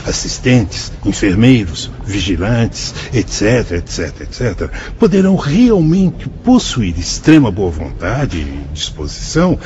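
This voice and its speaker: A solemn, wise spirit guide. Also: male voice, lowish voice, huge pitch swings, measured pace, few pauses, darkish tone, lo-fi sound, a little hiss or hum. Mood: explaining. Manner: solemn, dramatic, sweeping phrasing. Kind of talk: radio drama acting.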